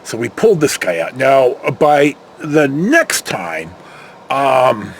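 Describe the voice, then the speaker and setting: A man's voice: medium pitch, very animated, slow, slight background noise. An older American man telling casual, rambling anecdotes, acting out the dialogue and using salty language. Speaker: storyteller, former security patrol officer.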